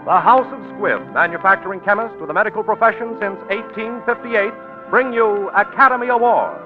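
A man's voice: sits high, fairly quick. A man with an American accent reading a formal, declamatory 1940s radio sponsor announcement. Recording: tinny 1940s radio recording, music behind.

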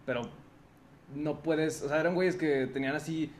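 A man's voice: fairly high pitch, fairly even intonation, moderate pace, a little mellow, a little hiss or hum. A nineteen-year-old Mexican man hosting a podcast, joking around in loose slang and wandering off into rambling asides. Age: young adult. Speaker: podcast host. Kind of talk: casual chat.

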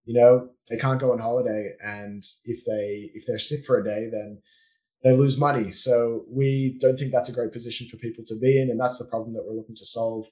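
A man with an Australian accent, a business owner speaking as a guest on a podcast in a relaxed, explanatory way with frequent fillers. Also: mid-range voice, fairly quick, poor audio. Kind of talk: casual conversational interview.

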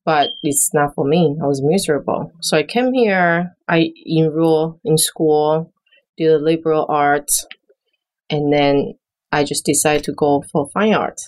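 A middle-aged woman from Taiwan with a notably low voice, speaking as a guest on a podcast. She talks in a measured, halting way and often keeps her answers short. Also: steady tone, darkish tone, rough recording. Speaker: guest, small business owner. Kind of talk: interview conversation.

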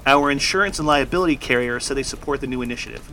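An actor playing a calm, matter-of-fact male office colleague in an audio drama. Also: medium pitch, fast talker.

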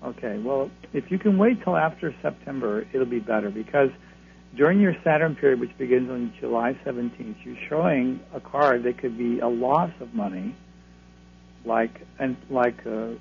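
Gravelly man on a phone line. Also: medium pitch, normal speed. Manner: reassuring, advisory. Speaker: astrologer guest. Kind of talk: call-in radio readings. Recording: phone line, faint hum.

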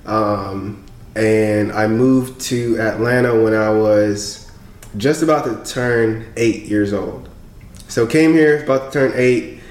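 A young man from Congo, a podcast guest, telling his story in casual, animated chat with frequent laughs. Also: fairly low pitch, slow pace. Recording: echoey room.

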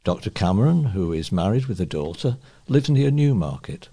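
A man with a husky voice reading local newspaper articles aloud as a volunteer reader. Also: lowish voice, big pitch swings, moderate pace, dark tone.

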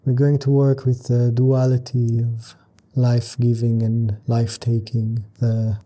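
Male meditation guide: very unhurried, even-toned, gentle and calm, measured phrases with room to breathe. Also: mid-range voice. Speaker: yoga nidra meditation teacher.

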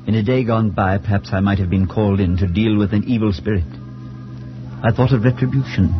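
An actor playing a grave, troubled priest who narrates in a measured, solemn 1940s radio suspense style. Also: male voice, on the low side, lightly acted. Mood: somber, brooding. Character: grave, troubled male priest, shaken but reasoning. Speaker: narrator and character. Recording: dull 1940s radio recording with music.

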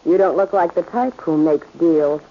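A woman's voice: on the low side, normal speed, natural voice. Female role, vintage radio crime drama: actress playing brusque, hard-nosed gambler, muffled hissy recording. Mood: brusque, guarded. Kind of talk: 1940s radio acting.

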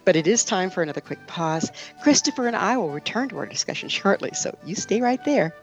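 A woman's voice: medium pitch, expressive, a little fast, lo-fi sound, soft background music. A woman hosting a broadcast interview show and making show announcements. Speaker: radio show host.

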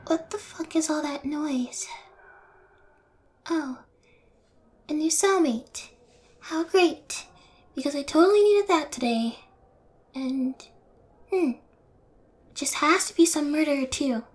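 A woman's voice: high voice, slow pace, long pauses. A woman playing a sarcastic, fearless young prison inmate in an audio roleplay, taunting and nonchalant toward her cellmate. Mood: sarcastic, annoyed.

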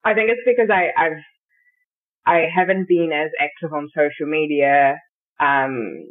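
A woman's voice: low-pitched, unhurried. A woman chatting casually with a friend in a playful, giggly, informal way. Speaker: podcast guest. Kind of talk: casual chat between friends. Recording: tinny, phone-like call audio.

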